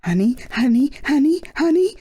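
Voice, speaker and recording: A woman voicing a sheepish, honey-mad male bear in a children's storybook, with a hesitant, drawn-out delivery. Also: sits high, very animated, mellow, voice pushed up. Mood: greedy.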